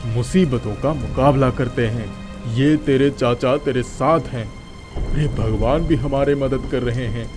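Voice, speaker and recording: A man acts out a brave village uncle from an animated moral story. He is protective and reassuring, stressing his words firmly in a mid-pitched voice. Music plays clearly behind him. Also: a little slow, natural voice. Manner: reassuring, emphatic.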